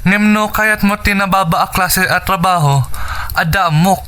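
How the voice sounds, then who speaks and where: A supportive young Ilocano friend in a radio drama. His voice is high and very bright, with a friendly, sympathetic manner. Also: moderate pace, clean tone, faint music behind. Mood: helpful. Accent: Filipino (Ilocano).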